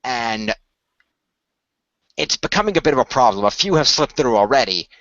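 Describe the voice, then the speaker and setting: A man improvising the part of an earnest company insider, explaining things in a measured, hesitant way as a game master. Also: mid-range voice, sing-song, fairly bright tone, lo-fi sound. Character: earnest, measured company insider revealing a secret magical order. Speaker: game master playing a character. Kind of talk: improvised in-character exposition for a role-play story.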